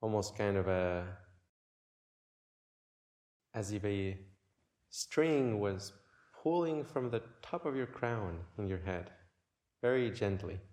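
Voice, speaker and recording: A man leading a guided meditation in a gentle, unhurried way, leaving long pauses between short phrases. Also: lowish voice, lively intonation. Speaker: meditation teacher.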